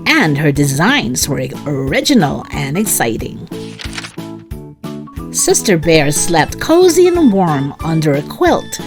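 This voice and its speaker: A woman narrating a children's story in an expressive way, as a read-aloud host for young listeners. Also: deep voice, slow. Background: music.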